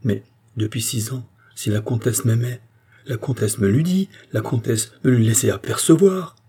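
A man's voice: mid-range voice, normal speed, dark tone, breathy edge, pitched above the performer's own voice. A man playing a proud, honourable count in a historical novel, formal, emphatic and insistent. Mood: astonished. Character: indignant, honourable count, proud and courteous nobleman. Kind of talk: dramatic audiobook character acting.

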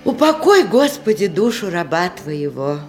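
Genteel widow hostess, lower female voice, chatty ornate storytelling, radio comedy, music behind. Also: sing-song, measured pace, darkish tone. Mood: hospitable, chatty. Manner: chatty, leisurely, ornate old-fashioned storytelling. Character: genteel provincial widow hostess of nineteenth-century Russia. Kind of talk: theatrical radio play narration.

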